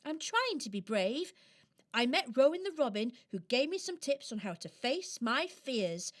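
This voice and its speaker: A woman's voice: high voice, very animated, measured pace. A woman acting an eager, brave, cheerful little toy present in a children's radio play. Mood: cheerful, hopeful.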